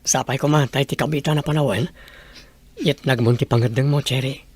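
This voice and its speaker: An actor playing an earnest, humble young suitor in a Philippine radio drama, with a husky voice. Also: male voice, medium pitch, steady tone, brisk, a little mellow, close to an everyday voice. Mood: earnest, pleading.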